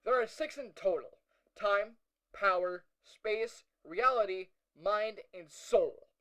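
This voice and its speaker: A young male hero , acted by a man, records his audio log in a high-pitched voice. He talks conversationally and a little hesitantly, as if thinking it through. His storytelling is uneasy, with dry, wry asides in the manner of an audio drama. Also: slow pace, pushed and bright, exaggerated voice, rough recording. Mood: explanatory, hesitant. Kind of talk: first-person audio log narration, conversational.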